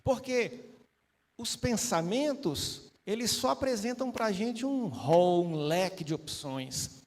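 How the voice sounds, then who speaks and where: High-voiced male panelist, chatty teacher, fond of rhetorical tag questions. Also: lively intonation, takes it slowly. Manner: conversational, asks frequent rhetorical tag questions. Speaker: spiritist panel guest. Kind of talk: casual spiritist teaching talk.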